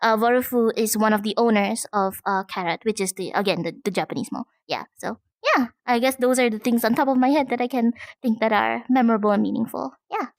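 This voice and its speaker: A woman from Malaysia talks in a casual interview style. Her voice sits fairly high, and the sound is dry, with very little room in it. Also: fairly quick, gravelly, few pauses, no room sound. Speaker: guest, content creator and VTuber.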